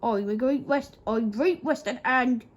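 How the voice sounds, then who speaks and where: A woman reads as a plucky storybook tank engine. It speaks in a high, earnest voice, slowly and politely, with some pride. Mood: proud, indignant.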